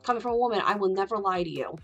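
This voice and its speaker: A woman's voice: mid-range voice, animated, racing, soft background music. A woman hosting a casual livestream, talking in a candid, rambling way with frequent swearing. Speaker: livestream host.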